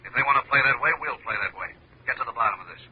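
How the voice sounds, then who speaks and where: An actor playing a decisive, resolute boss with a terse, commanding manner in a 1950s radio drama. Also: male voice, medium pitch, rapid-fire, close to an everyday voice. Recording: dull 1950s radio recording, faint noise.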